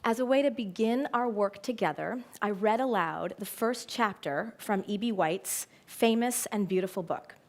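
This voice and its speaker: A middle-aged woman speaking as an educator in a prepared talk, telling stories in an expressive, emphatic way. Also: mid-range voice, moderate pace, hardly any pauses. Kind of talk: prepared public talk with storytelling.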